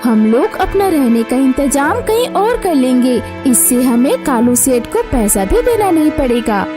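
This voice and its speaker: A woman's voice: high-pitched, very animated, a little slow, over music. A woman voicing a protective, reassuring young elder sister bird in a cartoon story. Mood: resolved. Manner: reassuring, earnest. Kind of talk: Hindi cartoon moral story.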